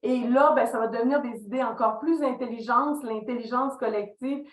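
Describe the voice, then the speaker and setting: Woman, middle-aged, Québécois French accent, higher voice, animated storyteller, echoey room. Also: moderate pace. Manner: animated storytelling, acts out quoted dialogue. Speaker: podcast guest, leadership consultant. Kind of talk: reflective interview talk.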